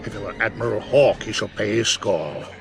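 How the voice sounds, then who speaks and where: An actor playing a jovial, crafty old one-legged sailor and innkeeper in an audio adventure, with a gravelly voice and hearty seafaring dialect. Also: male voice, fairly low pitch, moderate pace, music in the background. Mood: stern, blustering. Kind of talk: dramatised audio adventure acting.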